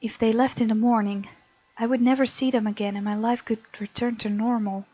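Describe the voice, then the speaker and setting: A woman's voice: a little high, fairly even intonation, fairly quick, warm tone, dull audio. A woman hosting a visual novel let's play, reading the game text aloud expressively and adding chatty reactions. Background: game music.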